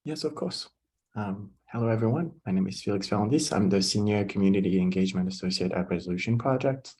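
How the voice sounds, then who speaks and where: Man with a deep, mellow voice. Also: husky. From France. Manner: polite, brisk, with hesitant fillers. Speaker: community engagement staff. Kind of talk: self-introduction on a video call. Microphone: video call.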